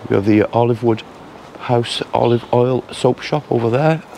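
A man casually narrating a walking tour outdoors on village streets, chatty and relaxed with frequent pauses to say "uh". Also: medium pitch, creaky, a little hiss or hum. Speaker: travel vlogger.

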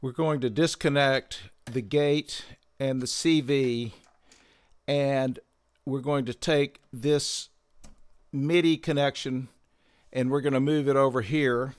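A man hosting a synthesizer tutorial, explaining gear in a measured, methodical way on a close microphone. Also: medium pitch, steady tone, smooth, no room sound. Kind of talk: explanatory product tutorial narration.

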